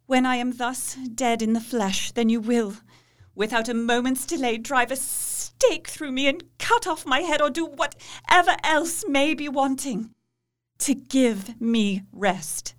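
A woman playing Mina, a devoted young wife, speaking with slow, solemn, pleading resolve in a radio play. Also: sits high. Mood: grave.

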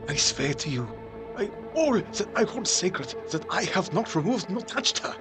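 A man's voice: sits high, sing-song, normal speed, music in the background. A man playing a grave, insistent older professor and vampire hunter in an audio drama, speaking solemnly and emphatically in formal, stilted phrases.

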